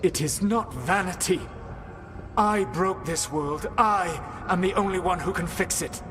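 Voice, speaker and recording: An actor playing a proud, weary ancient mage in a fantasy video game, speaking in measured, formal phrases. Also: male voice, high voice, music in the background. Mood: proud, insistent. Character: solemn, proud, weary ancient male mage, grave and self-justifying.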